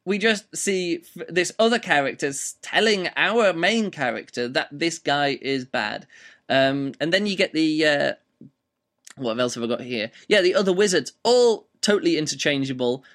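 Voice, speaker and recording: Guy reviewing a book, voice a bit rough, kinda higher pitched, rambles w lots of ums. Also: animated, normal speed, fairly bright tone. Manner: rambling, full of fillers and restarts. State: slightly rough voice. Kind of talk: casual spoken book review.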